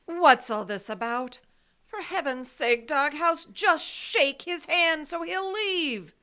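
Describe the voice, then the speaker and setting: An actress playing a stern, impatient head librarian in an old-time detective radio play, curt and scornful. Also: female voice, high voice, takes it slowly, bright, forceful tone, full character voice. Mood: exasperated. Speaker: actor.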